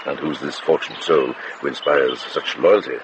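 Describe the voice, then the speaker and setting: An actor playing a wise, wry old monk and healer in a radio drama, dryly and gently probing. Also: male voice, very deep voice, steady tone, moderate pace, noisy background. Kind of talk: period radio drama acting. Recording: muffled radio drama, loud background ambience.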